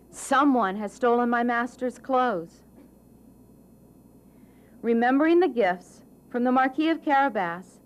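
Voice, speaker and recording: A woman reads a children's fairy tale as its narrator. Her voice is on the high side and moves up and down with great animation. The phrasing is expressive and deliberate, in a storybook style.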